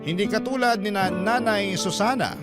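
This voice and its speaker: Man as earnest storyteller over clear guitar music. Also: high-pitched, normal speed, natural voice. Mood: wistful. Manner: earnest, reflective. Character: humble married former driver recounting his love life. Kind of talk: first-person Tagalog radio drama narration.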